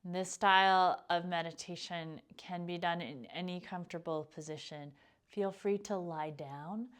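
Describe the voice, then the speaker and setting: Female meditation teacher, smooth resonant mid-range voice, gentle unhurried guidance with calm pauses. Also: medium pitch. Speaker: yoga nidra meditation teacher. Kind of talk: guided meditation instruction.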